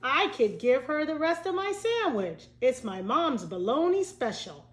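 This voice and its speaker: Woman as earnest young schoolkids, storybook dialogue, higher lilting pitch, echoey room. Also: very animated, unhurried. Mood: eager, caring. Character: eager, earnest young schoolchildren chatting at recess.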